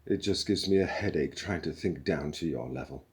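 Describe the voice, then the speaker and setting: A man doing a gloomy, depressed robot. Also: very deep voice. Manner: slow, flat, mournful drawl. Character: gloomy depressed male robot, weary and superior. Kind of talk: audiobook character voice.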